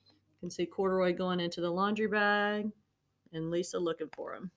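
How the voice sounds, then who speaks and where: A woman's voice: fairly low pitch, fairly even intonation, moderate pace. Woman reading a children's storybook. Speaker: storybook reader. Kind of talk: children's read-aloud narration.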